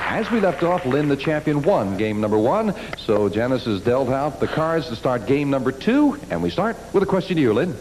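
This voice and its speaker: A man's voice: mid-range voice, moderate pace, few pauses, some chatter behind. A man hosting a TV game show, cheerful and jokey, keeping the play moving. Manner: cheerful, animated, jokey banter. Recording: noisy TV studio with loud audience.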